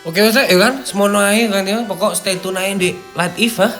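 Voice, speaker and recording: A man with a fairly high voice and an East Javanese accent chats casually as a video host. He mixes read-out passages with slangy asides and interjections. Music plays behind him. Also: moderate pace, sharp tone. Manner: slangy, peppering talk with interjections. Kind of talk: casual chatty commentary, partly reading out an article. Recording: background music under the talk.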